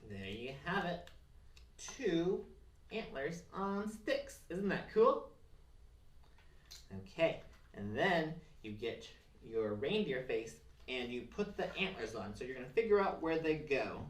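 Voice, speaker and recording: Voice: mid-range voice, very animated, takes it slowly. A craft presenter giving simple, encouraging step-by-step instructions to children. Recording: roomy, distant mic, faint noise and clicks.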